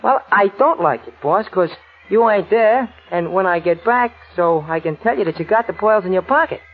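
An actor playing a nervous, dim but loyal crook's sidekick, stammering and muddled, with slangy grammar and a husky voice, in a 1940s radio drama. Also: male voice, high voice, animated, normal speed, soft background music, full character voice. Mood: flustered, rambling. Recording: muffled tinny old radio recording.

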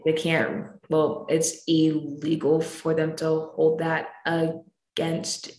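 A teenage girl guest in casual chat who stutters on sounds and talks in a deliberate, open way. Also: low voice, monotone, a little mellow. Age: teens. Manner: stutters, speaks openly and deliberately. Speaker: podcast guest. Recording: roomy with faint noise.

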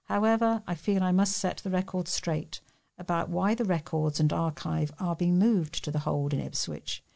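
Casting: British woman, lowish pitch with noticeable vocal fry, volunteer reader of local news and letters. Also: warm tone. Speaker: volunteer newsreader for a talking newspaper. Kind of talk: reading local news articles and letters aloud.

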